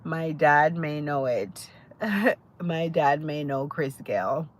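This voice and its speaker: A woman's voice: sits low, expressive, takes it slowly. Chatty Jamaican woman live-streaming. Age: adult. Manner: chatty, greets viewers by name, laughs often. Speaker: livestream host. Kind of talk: casual live-stream chat with viewers.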